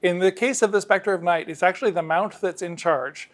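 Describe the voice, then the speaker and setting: A middle-aged man with a somewhat high voice talks as a game designer being interviewed. He explains things in a casual, enthusiastic way. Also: steady tone, a little fast. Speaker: game designer interviewed about his work.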